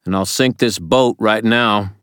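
A man voicing a stern, bitter old wizard grandfather in an audiobook, pleading and scolding forcefully. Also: low-pitched, lively intonation, a little slow, no echo, studio quality, full character voice. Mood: threatening.

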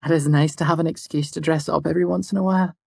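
A man's voice: a little high, steady tone, very fast, creaky, a little mellow, slightly rough, no echo, clean studio sound, voice pushed up. A man voicing a sweet, modest, slightly self-conscious young woman in an audiobook. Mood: pleased, shy. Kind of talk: audiobook character dialogue.

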